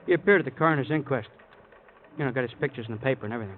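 Guy as a breezy sarcastic detective, talks fast, thin crackly old radio sound. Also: medium pitch, natural voice. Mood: breezy, dry. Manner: clipped, casual, drily needling. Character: breezy, sardonic, talkative police detective sidekick. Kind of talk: 1950s radio crime drama acting. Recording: old radio, phone-like band, faint noise.

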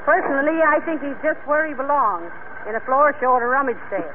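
Dry teasing wife, old radio comedy, deadpan, really noisy dull vintage sound. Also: female voice, high-pitched, fast. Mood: wry.

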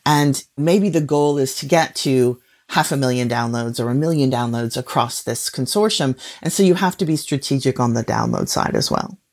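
A woman's voice: rumbling low, normal speed, hardly any pauses, a little mellow. A woman speaking as an expert guest in a conversational interview, explaining things and often checking in with short rhetorical questions. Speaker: podcast industry guest expert.

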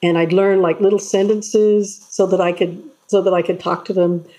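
A woman guest in an interview, telling stories in an earnest, animated way. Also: on the low side, normal speed, low-quality recording. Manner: earnest, animated storytelling with acted-out voices. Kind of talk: casual interview chat.

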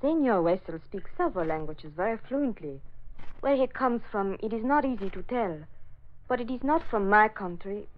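A woman's voice: medium pitch, expressive, normal speed, close to an everyday voice. Woman acting a formal Spanish-accented secretary, muffled old radio. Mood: courteous. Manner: formal, courteous, precise. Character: polite, guarded Latin female secretary.

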